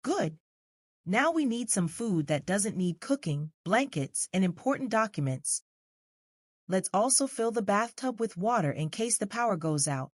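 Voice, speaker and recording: A woman voicing a calm, serious and reassuring mother who explains things instructively in a children's storybook. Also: medium pitch, measured pace, no room sound, clean studio sound.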